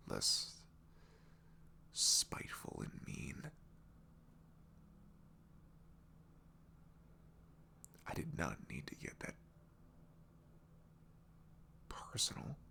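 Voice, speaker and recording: A man playing an apologetic, vulnerable ex-boyfriend in an intimate ASMR roleplay, with a breathy half-whisper and a hesitant, emotional delivery. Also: a bass voice, very animated, slow pace, fairly bright tone, exaggerated voice. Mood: remorseful, self-blaming.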